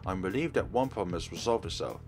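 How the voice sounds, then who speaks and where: A man's voice: deep voice, fast talker. A man narrating a visual novel aloud as a let's player, reading expressively from the text. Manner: expressive reading, acts out dialogue. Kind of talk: narration read from on-screen text. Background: game music.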